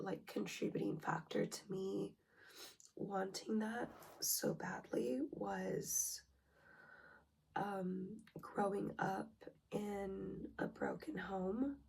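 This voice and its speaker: A young woman with a hoarse, breathy voice from a cold, her words often slipping into a whisper. She talks slowly with frequent pauses and ums, and a gravelly fry sits under her tone as she shares a personal self-help vlog. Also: medium pitch. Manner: slow, hesitant, with many ums and soft whispery breaths. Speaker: relationship vlogger.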